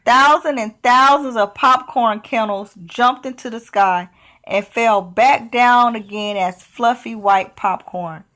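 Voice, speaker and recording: A woman reading a children's picture book aloud as storyteller, with expressive, deliberate delivery. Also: on the high side.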